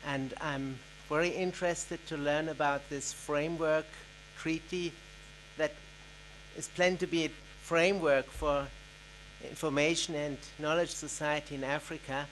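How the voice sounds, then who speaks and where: Ngo guy at the mic, slow and deliberate, faint hum in the room. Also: a little high, level tone, a bit bright, rough recording. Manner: measured, deliberate, with occasional uhs. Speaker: NGO representative, audience member. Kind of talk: formal question from the floor. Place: conference hall.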